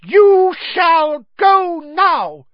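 Storybook house-elf: small, servile, excitable male creature, piercingly high and squeaky, slightly dull recording. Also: extremely slow, no room sound, full character voice. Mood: fierce. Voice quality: shrill, squeaky. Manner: speaks of himself in third person, servile, fervent.

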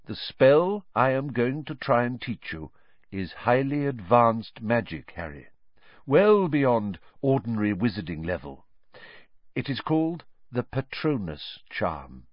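A thoughtful, gentle schoolmaster of magic, acted by a man for a storybook, explains in measured phrases with lifted, varied pitch. The sound is close, dry and a little dull. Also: big pitch swings, dry recording. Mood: earnest, instructive. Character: gentle, thoughtful adult male teacher of magic. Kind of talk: audiobook character acting.

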